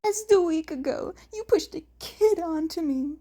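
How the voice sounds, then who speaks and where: A woman's voice: very high voice, expressive, measured pace, dark tone, pitched above the performer's own voice. A woman voicing a playful, teasing adult man, a big brother, in story dialogue.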